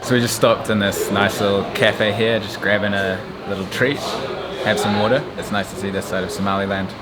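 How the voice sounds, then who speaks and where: Loud outdoor street and traffic noise surrounds a man with a fairly low voice. He is a travel vlogger, chatting casually and easily as he explains where he is and asks simple questions. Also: fairly even intonation, a little fast. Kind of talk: casual travel vlog chat. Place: outdoors on city streets and in vehicles.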